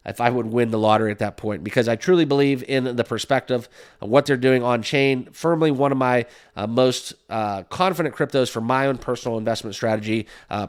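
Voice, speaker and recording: A man's voice: mid-range voice, clear, resonant tone. A man hosting a crypto channel, chatting casually and upbeat with lots of fillers and "right?" tags, sniffling with a slight cold. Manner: upbeat, full of fillers, keeps asking "right?". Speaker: crypto YouTube host. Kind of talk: casual talk to camera.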